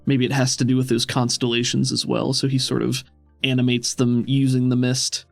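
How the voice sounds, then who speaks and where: A man's voice: medium pitch, monotone, moderate pace, gravelly, dry recording. A man working as game master, narrating a tabletop roleplaying scene in a conversational, descriptive way. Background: music.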